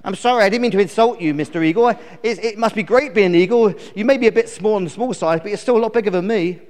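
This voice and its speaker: A man's voice: high voice, quick pace, darkish tone, in a large hall, pitched above the performer's own voice, natural voice. A man voicing a cheery, eager little female bluebottle fly in a children's storybook. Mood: apologetic, eager. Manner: enthusiastic, apologetic, chatty.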